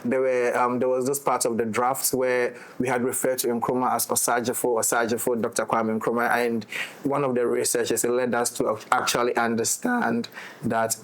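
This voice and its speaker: A man with a Ghanaian accent speaks in a casual roundtable style. His pitch is middling and stays quite even as he explains things reflectively, checking in with his listener as he goes. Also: moderate pace. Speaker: audio drama director and writer in discussion.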